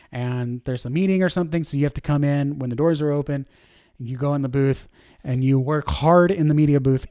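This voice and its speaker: A man's voice: a little high, warm tone, no echo, studio quality. A man in his thirties, a church media director, teaching a leadership lesson in an informal, conversational way, with fillers and self-corrections. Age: 30s.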